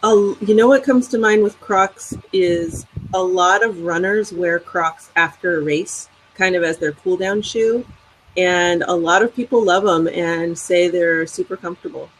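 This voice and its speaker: A middle-aged woman from the United States, a physician answering interview questions in a relaxed, easygoing way. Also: on the low side, unhurried, poor audio. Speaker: physician guest.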